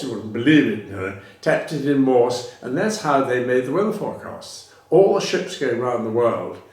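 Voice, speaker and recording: A man's voice: medium pitch, distant mic. Older British man reminiscing in an echoey room. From Britain. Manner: rambling, self-correcting, laughs between anecdotes. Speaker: interviewee. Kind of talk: reminiscing in a casual interview.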